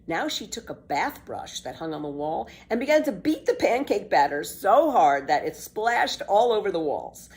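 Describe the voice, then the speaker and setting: Female storyteller for children's book narration, expressive, measured, very varied melody, mid pitch.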